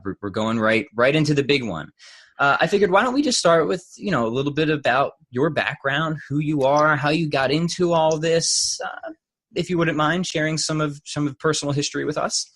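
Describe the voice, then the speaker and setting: A man's voice: fairly high pitch, fairly bright tone, slightly rough. A young man hosting an interview podcast, enthusiastic and rambling with frequent restarts. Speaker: podcast host. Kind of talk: casual interview chat.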